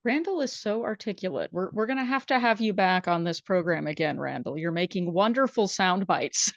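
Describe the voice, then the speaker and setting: A woman hosts a relaxed online talk show, chatty and encouraging. Her voice arrives dull and lo-fi, with a dry, close sound and no room echo. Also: fairly low pitch, a little fast. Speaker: host. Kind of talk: casual chat.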